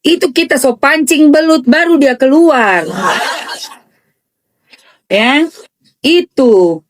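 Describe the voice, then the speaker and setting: Woman gossip commentator, eastern Indonesian accent, sarcastic, emphatic, slightly high voice. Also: expressive, slow pace. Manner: sarcastic, emphatic, repeats phrases for mockery.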